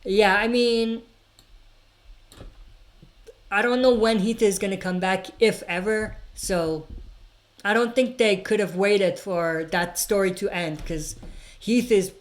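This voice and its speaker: A woman hosting a live chat stream, talking loosely and with conviction. She reads out viewer comments, then answers them at length, with frequent fillers and restarts. Her voice sits at an ordinary middle pitch. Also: steady tone, takes it slowly, pauses often. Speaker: livestream host answering chat questions.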